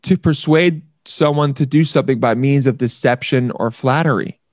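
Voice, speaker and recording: A man's voice: medium pitch, moderate pace, darkish tone, no echo. Male podcast host, playful and jokey, dry sound. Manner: playful, jokey, acts out little voices. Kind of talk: casual chat.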